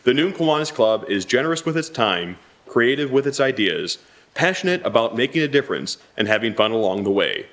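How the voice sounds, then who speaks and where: A man's voice: mid-range voice, a bit bright. An American man reading a scripted sponsor message as an announcer. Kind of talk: scripted advertisement read.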